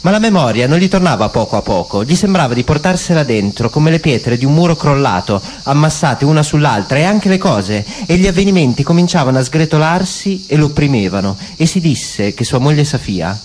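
A man narrating a literary radio drama in a slow, sombre way. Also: fairly high pitch, expressive, darkish tone, a little hiss or hum.

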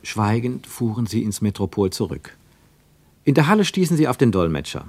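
A man narrating a scripted radio drama in a measured, literary and dramatic manner. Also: fairly low pitch, very animated, a little mellow, dry recording.

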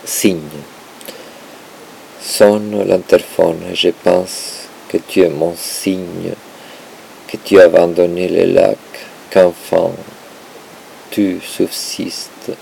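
Very slow, gravelly deep-voiced man reciting poetry. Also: level tone, warm tone, slight background noise, rough recording. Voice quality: gravelly, creaky. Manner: halting, dramatic delivery in short broken phrases. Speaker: poetry translator and performer. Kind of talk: performative poetry reading.

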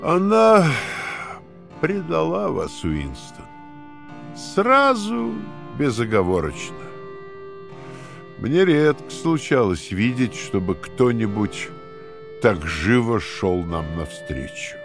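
A totalitarian interrogator in an audio play, measured and patronizing. His delivery is very slow, with sweeping, animated intonation that turns every statement into a fanatical lecture. Faint music runs behind him. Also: mid-range voice, creaky, full character voice. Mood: cold, patronizing. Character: male Party interrogator, calm, condescending, fanatical about power. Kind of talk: dramatic audio play acting.

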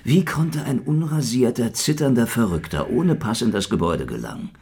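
An actor playing a cynical, weary secret police investigator in a hardboiled radio drama, dry and laconic. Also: male voice, medium pitch, lively intonation, normal speed, darkish tone, breathy edge. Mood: defiant.

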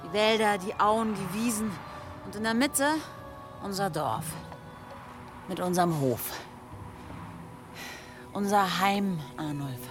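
A hopeful, steadfast wife from a medieval village, acted by a woman. Her medium-pitched voice is calm and gently firm. Music sounds behind her in this radio drama. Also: slow pace, long pauses, lightly acted. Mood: wistful, admiring. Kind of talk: German radio drama acting.

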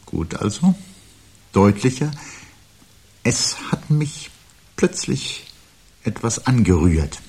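Brooding man full of dread, very low husky voice, cryptic and portentous, 70s radio drama. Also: sing-song, darkish tone, heavily acted. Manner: measured, portentous, cryptic, given to pathos. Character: brooding man haunted by dread of humanity's end. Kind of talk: 1970s German radio play acting.

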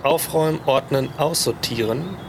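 A man's voice: fairly high pitch, normal speed, background noise. A man hesitantly describing his new attitude to life, often correcting his own words. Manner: hesitant, keeps correcting himself. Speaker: participant in a happiness experiment. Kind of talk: reflective personal account.

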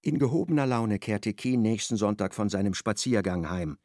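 A man narrating a literary radio drama in a measured, expressive storytelling manner. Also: lowish voice, no echo, studio quality.

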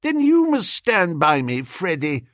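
A man voicing a flustered, excitable upper-class young Englishman who pleads with his pals in an audiobook story. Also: high-pitched, measured pace, breathy edge, no echo, clean studio sound, voice pushed up, exaggerated voice. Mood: urgent pleading.

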